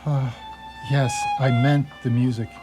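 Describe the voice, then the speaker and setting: A man's voice: medium pitch, little pitch movement, measured pace, music in the background, natural voice. A man playing a tormented, nervous young husband in a radio play, hesitant and brooding. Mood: agitated. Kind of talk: radio theatre acting.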